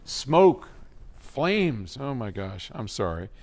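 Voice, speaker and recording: Slow-paced man giving casual advice. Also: medium pitch, sing-song, a little mellow. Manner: conversational, informal, with frequent throat clearing. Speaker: host answering listener questions.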